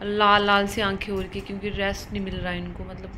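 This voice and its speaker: A woman's voice: medium pitch, little pitch movement, fairly quick. A young woman chatting to her viewers as a travel vlogger, filming herself on a phone at arm's length in a hotel room and on a beach. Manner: chatty, addresses viewers as guys, mixes English words. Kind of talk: casual vlog narration. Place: hotel room, then outdoors on a beach and boat. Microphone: phone held at arm's length. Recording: loud wind and surf noise. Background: waves, boats and water vehicles.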